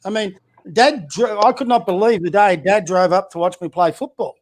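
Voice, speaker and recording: A high-pitched man talks as a guest on a casual podcast. He is a community basketball coach who speaks bluntly and opinionatedly, firing rhetorical questions at his listeners and tripping into restarts as he builds his point. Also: normal speed. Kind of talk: casual political chat.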